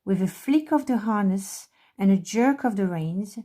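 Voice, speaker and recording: Mellow, slow-paced woman reading a storybook. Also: mid-range voice, expressive. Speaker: library storytime reader. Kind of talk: children's storybook read-aloud narration.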